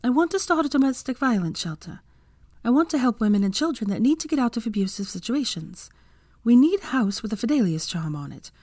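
A woman's voice: fairly high pitch, big pitch swings, a little fast, darkish tone, dry recording, clean studio sound, pitched above the performer's own voice. A woman voicing an earnest, caring and determined young woman in an audiobook story.

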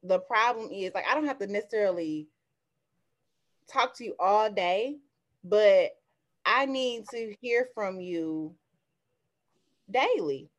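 An American woman co-hosting a podcast, chatting casually among friends with an emphatic, animated, slangy delivery. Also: mid-range voice, slow pace, pauses often. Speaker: podcast co-host.

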